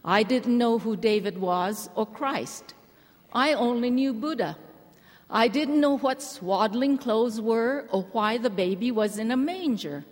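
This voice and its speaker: A woman from Hawaii giving an earnest, gentle church address to a women's gathering. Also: a little high, unhurried. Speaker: church speaker. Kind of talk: measured address to a women's conference. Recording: large hall reverb.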